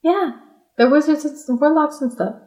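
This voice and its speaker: A woman's voice: high-pitched, moderate pace, a little mellow. A woman reading a story aloud in a casual, comedic way, giggly and irreverent, with sweary banter between passages.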